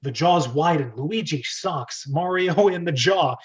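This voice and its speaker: A man's voice: on the high side, unhurried. A man hosting an informal script read-aloud, reading stage directions theatrically and adding casual, jokey asides. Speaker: host and script reader. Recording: dull lo-fi audio.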